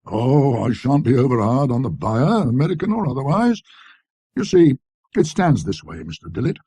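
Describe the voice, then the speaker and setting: A man's voice: medium pitch, big pitch swings, normal speed, mellow, husky, dry recording, voice pushed up. A man voicing a shrewd, deferential antique dealer with folksy, ungrammatical sales patter, in a storybook reading. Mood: persuasive, earnest.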